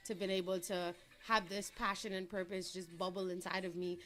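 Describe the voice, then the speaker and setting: A woman's voice: on the low side, level tone, a little fast, a bit bright. A young adult woman from Trinidad, a guest entrepreneur in a casual interview, talking in an upbeat, chatty way and laughing easily.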